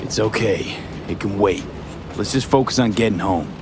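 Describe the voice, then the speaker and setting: A man's voice: on the low side, normal speed, noisy background, close to an everyday voice. A male actor playing a dry, weary young mercenary in a video game, terse and matter-of-fact. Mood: practical. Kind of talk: cinematic video game acting. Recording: music behind the voice.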